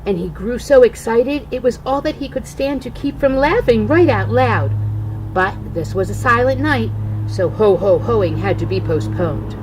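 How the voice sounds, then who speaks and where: Grandmother storyteller: older woman, slightly high pitch, deliberate and emphatic read-aloud, some background noise. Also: animated, a little mellow, poor audio.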